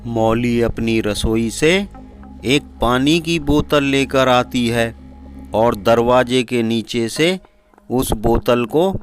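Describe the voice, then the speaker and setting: A man narrating a scripted horror story in a measured, deliberate manner. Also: medium pitch, soft background music.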